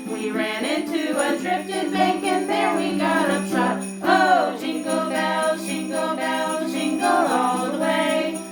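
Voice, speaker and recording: A woman's voice: sits high, slow, hardly any pauses. A woman singing cheerful holiday music for a children's show, accompanied by jingling bells. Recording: roomy, distant, with music.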